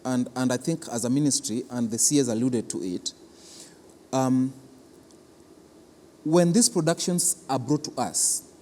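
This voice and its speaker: A man with a Kenyan accent explains things as an interviewed official, speaking haltingly and repeating his words. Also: mid-range voice, dark tone. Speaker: cultural institution official being interviewed. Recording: reverberant hall with clear background noise.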